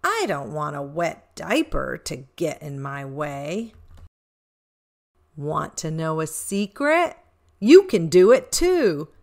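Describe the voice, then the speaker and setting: Animated, slow-paced woman reading to toddlers. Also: sits low, vocal fry. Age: middle-aged. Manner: deliberate, animated, sing-song delivery for small children. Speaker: children's show host reading a picture book aloud. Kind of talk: expressive read-aloud for toddlers.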